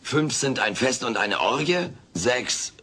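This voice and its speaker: Casting: wisecracking alien houseguest, bright forceful voice, theatrical and cheeky, sitcom audio drama. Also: male voice, medium pitch, very animated, slow, breathy edge, exaggerated voice. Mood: jovial, showy. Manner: theatrical, cheeky, mock-grand delivery. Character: wisecracking, meddling furry alien houseguest, male. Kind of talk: dubbed sitcom audio play acting.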